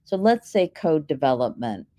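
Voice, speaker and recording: Low-voiced woman explaining things step by step. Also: very animated, moderate pace, dark tone. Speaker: keynote speaker answering audience questions. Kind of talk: explanatory conference Q&A.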